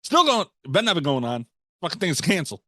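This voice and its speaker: Higher-voiced man hosting, dry close sound. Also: sing-song, fast talker, pushed and bright, dry recording. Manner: profane, exasperated joking. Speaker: podcast host. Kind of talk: casual banter.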